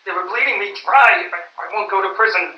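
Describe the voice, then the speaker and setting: A man's voice: sits high, a little fast, natural voice. A man playing a greedy, scheming male chemist villain in a live old-time radio drama, gloating and menacing. Heard in a live stage recording. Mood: desperate. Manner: gloating, menacing, then panicky. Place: convention stage before an audience. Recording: roomy, distant, dull live stage recording.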